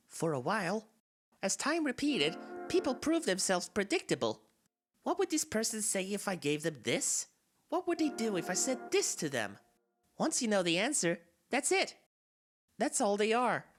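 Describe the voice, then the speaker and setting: Girl doing creepy bored flower creature from a game, confiding, swings wistful to menacing, lively pitch, music behind. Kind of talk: dramatic monologue in a game fan dub. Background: game soundtrack music.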